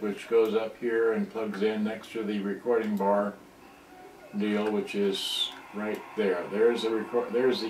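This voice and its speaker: A man giving casual, off-the-cuff commentary as a repair hobbyist, talking in a rambling, halting way. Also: on the low side, a little mellow. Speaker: electronics repair hobbyist presenting. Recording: roomy, distant mic, faint noise.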